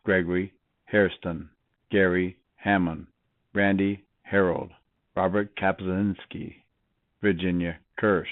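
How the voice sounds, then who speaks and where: Deep-voiced man on a phone-like recording. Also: fairly even intonation, slow, dry recording. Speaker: roll call reader. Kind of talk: formal memorial reading of a list of names. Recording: narrow, phone-like sound.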